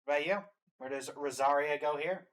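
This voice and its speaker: A casual reaction-video host with a medium-pitched voice. The talk is conversational and deliberating, often reconsidering out loud. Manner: deliberates aloud, changes mind mid-thought.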